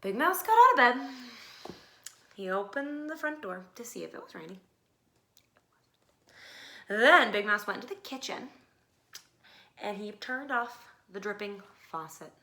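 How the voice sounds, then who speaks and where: A woman narrating a children's picture book expressively, with dramatic pauses and hushed phrases. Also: medium pitch, big pitch swings. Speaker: storybook reader. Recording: faint background noise.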